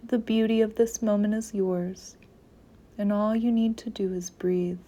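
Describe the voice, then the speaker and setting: A woman leading a guided meditation in a soft, gentle, soothing voice, with phrases often trailing into near-whispers. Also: medium pitch, fairly even intonation, a little slow.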